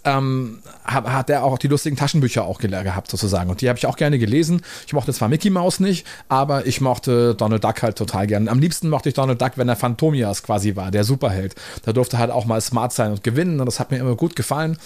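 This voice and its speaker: A man's voice: mid-range voice, darkish tone. A man in his forties hosting his own podcast, talking in a chatty, rambling way with many fillers. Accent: German. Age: 40s. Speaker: podcast host. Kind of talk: casual monologue.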